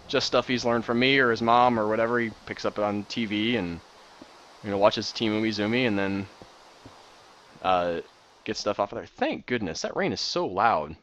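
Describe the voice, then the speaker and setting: A man in his thirties, excitable and rambling, chatting casually while playing a building game. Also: mid-range voice, highs cut off. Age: 30s. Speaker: Minecraft builder and streamer. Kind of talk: casual commentary while gaming.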